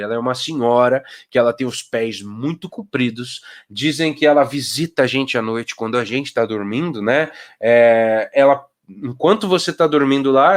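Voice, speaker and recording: Paulista man chatting on a video call. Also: mid-range voice. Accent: Paulista Brazilian Portuguese. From São Paulo, Brazil. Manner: conversational, asks rhetorical questions, briefly voices old folks. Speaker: children's author. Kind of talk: casual talk in a live video conference.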